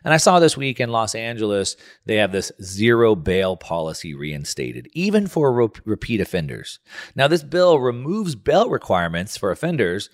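A man's voice: medium pitch, very animated, moderate pace, dry recording, studio quality. A man hosting a radio talk show, speaking in an emphatic, conversational way. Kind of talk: radio talk show commentary with scripted ad reads.

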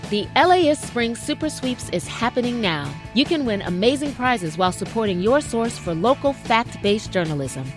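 A woman's voice: a little high, big pitch swings, moderate pace, few pauses. A woman announcer reading a scripted radio promo. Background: music.

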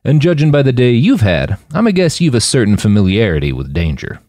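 A crude, laid-back post-human man, put on by a male narrator. He speaks in folksy slang with lively swings of pitch, very dry and close. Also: a little fast, no room sound, close to an everyday voice. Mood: sly.

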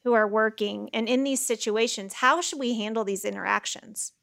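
A young woman with a high voice interviewing a guest, asking earnest, curious questions. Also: normal speed, gravelly, fairly bright tone. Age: young adult.

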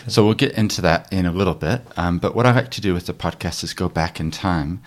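A man's voice: low-pitched, fairly quick. A middle-aged man hosting a conversational interview podcast.

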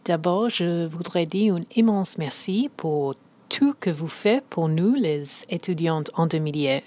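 A woman's voice: on the low side, expressive, measured pace, mellow. A woman from South Africa whose first language is English, carefully reading out a prepared message in learner French. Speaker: listener sending a message.

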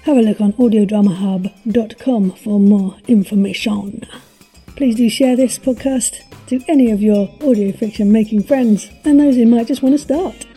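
A woman hosting a podcast, reading an enthusiastic promotional announcement in a husky voice. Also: on the high side, normal speed, warm tone. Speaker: podcast host. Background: music.

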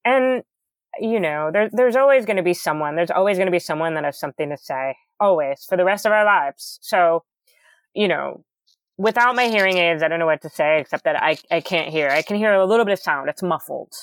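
Woman guest, loud and crisply enunciated, earnest, lower-pitched, dry close recording. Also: brisk, dry recording. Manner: loud, carefully enunciated speech; earnest, animated, many fillers. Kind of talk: candid conversational interview.

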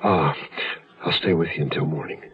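Very deep-voiced anxious lover, radio drama. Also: male voice, moderate pace, a crowd in the background. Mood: shaken. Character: devoted, nervous lover who has just killed. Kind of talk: 1970s radio drama acting. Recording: dull lo-fi radio drama recording.

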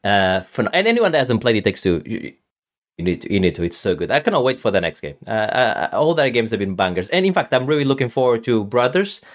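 Fast-talking Portuguese man. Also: medium pitch, big pitch swings. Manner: fast, enthusiastic, repeats words while thinking. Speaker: podcast co-host. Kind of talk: casual podcast chat about games.

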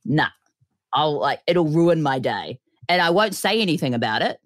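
A woman's voice: low-pitched, sing-song, normal speed, no room sound, clean studio sound. A woman with a nasal voice chatting casually, rambling, laughing often and swearing. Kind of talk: casual chat.

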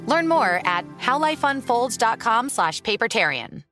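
Female commercial voice, friendly, higher pitch, music bed. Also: very animated, measured pace. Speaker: commercial announcer. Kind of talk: scripted ad read.

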